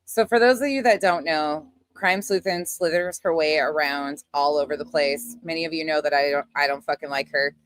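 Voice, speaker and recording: A woman's voice: low-pitched, normal speed, hardly any pauses, no room sound. A woman giving casual reaction commentary in a blunt, sarcastic, combative way with plenty of swearing. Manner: scornful, combative. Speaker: commentator reacting to another person's clip.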